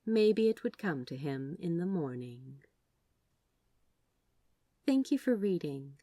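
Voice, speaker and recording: Woman reading fiction out loud, super animated pitch, dry close recording. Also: takes it slowly, dry recording. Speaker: fan fiction narrator. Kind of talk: expressive scripted story narration.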